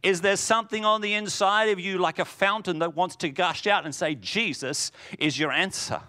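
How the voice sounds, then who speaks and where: A man preaching a sermon in a booming voice, swinging from loud declarations to hushed lines. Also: normal speed.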